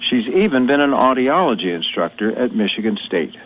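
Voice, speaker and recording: A man's voice: medium pitch, big pitch swings, brisk. A man voicing a folksy, anecdotal radio ad testimonial. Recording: tinny lo-fi radio ad with faint hiss.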